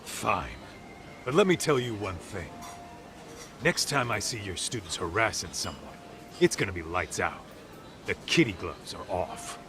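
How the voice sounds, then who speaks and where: A man's voice: sits low, very animated, unhurried, background noise. An actor playing a cocky, joking tough-guy detective partner in a video game dub, blunt and slangy. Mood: threatening.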